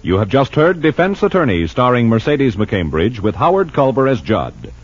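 A man reads scripted credits in old-time radio announcer style. His voice is husky and swings widely in pitch. Music plays behind him on a dull vintage recording. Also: sing-song, moderate pace. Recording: muffled vintage radio broadcast.

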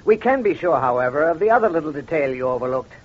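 An actor playing a shrewd, self-assured crime doctor in an old radio detective drama, speaking in a deliberate, accusatory way. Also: male voice, a little high, expressive. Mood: methodical, confident. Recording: dull, muffled old radio recording.

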